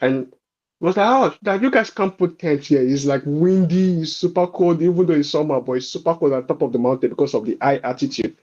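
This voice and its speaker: A man's voice: a little high, fairly quick, darkish tone, highs cut off. A man chatting casually and telling anecdotes, often repeating himself and acting out quoted remarks. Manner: informal, frequent repeats and quoted asides. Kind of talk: casual conversational storytelling.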